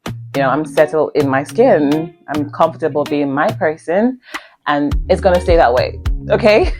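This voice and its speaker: Emphatic Nigerian woman vlogging. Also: fairly low pitch. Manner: earnest, emphatic, repeats for stress. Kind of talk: casual talk to camera.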